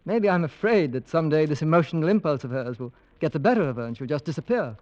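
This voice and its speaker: Old radio drama, muffled: male actor as wry, talkative young English husband, higher-pitched, doting yet uneasy. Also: animated, fast, a little mellow, lightly acted. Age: young adult. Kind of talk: 1940s-50s radio drama acting. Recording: dull old radio recording.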